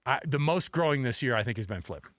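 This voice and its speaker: A man's voice: mid-range voice, lively intonation, quick pace, fairly bright tone, no room sound, clean studio sound. An American man hosting sports talk radio, giving opinionated commentary in an emphatic, exasperated, conversational way. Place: radio studio.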